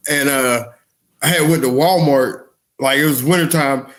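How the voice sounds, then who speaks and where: A man's voice: mid-range voice, normal speed, a bit bright. A man in his forties co-hosting a comedy podcast, chatting informally with slang and easy swearing. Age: 40s. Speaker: podcast co-host. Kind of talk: casual comedic chat.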